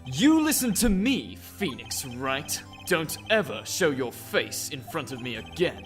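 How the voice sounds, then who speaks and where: A man's voice: mid-range voice, very animated, measured pace, fairly bright tone, faint music behind. A man playing a cold, haughty rival prosecutor in a game fan dub, speaking stiffly and formally. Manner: stiff, formal, clipped delivery. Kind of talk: visual novel fan dub.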